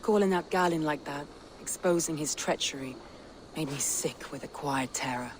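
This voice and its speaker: Actress as an earnest, low-voiced Viking woman leader. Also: moderate pace, noisy background, close to an everyday voice. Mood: grateful. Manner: earnest, formal. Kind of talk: dramatic video game acting.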